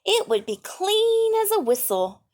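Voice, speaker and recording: A woman's voice: extremely high pitch, very animated, a little slow, a little mellow, voice pushed up, exaggerated voice. A woman voicing an eager, kind young girl from a storybook, speaking earnestly. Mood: admiring, encouraging.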